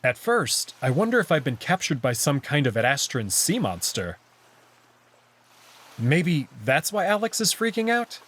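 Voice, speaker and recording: Husky, expressive male story narrator. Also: on the high side, unhurried. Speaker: narrator reading a visual novel aloud.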